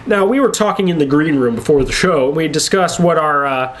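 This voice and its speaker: A man's voice: a little high, lively intonation, fairly quick, fairly bright tone. A man co-hosting an entertainment show in casual back-and-forth banter. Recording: clearly noisy room recording.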